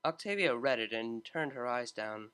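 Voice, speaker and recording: A man narrating a fan-written story in a steady, measured reading. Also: medium pitch, clear, resonant tone. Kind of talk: fanfiction audiobook narration.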